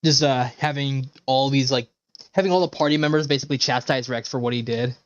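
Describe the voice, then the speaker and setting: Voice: medium pitch, a little fast, highs cut off. A game streamer giving casual, sweary, offhand reaction commentary in a middle-register voice.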